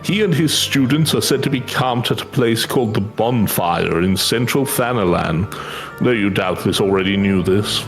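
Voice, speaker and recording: Man as a pompous scholarly mentor, gravelly edge. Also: fairly low pitch, animated, faint music behind, voice pushed down. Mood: composed, instructive. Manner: measured, pompous, archaic formal phrasing. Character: learned, courteous scholar mentor instructing his assistant. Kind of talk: fan read-aloud of fantasy game dialogue.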